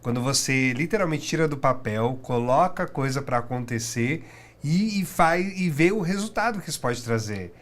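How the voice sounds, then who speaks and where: A man's voice: medium pitch, lively intonation, normal speed, fairly bright tone. A man hosting a podcast who responds encouragingly and sums up his guest's story. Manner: encouraging, affirming. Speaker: podcast host. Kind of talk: interviewer, brief backchannels.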